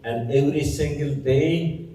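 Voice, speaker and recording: A middle-aged man from southeastern Turkey whose first language is Syriac Aramaic, recounting his life as a guest speaker in a plain, unhurried way. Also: fairly high pitch, mellow, slight background noise. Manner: unhurried, plain-spoken storytelling with frequent fillers. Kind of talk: personal testimony in conversation. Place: church hall. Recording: echoey hall, far from mic.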